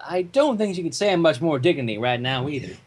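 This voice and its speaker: Male story reader, husky tone, very lively pitch movement, informal and playful with asides. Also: fairly quick. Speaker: fan fiction reader. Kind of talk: animated story narration with joking asides.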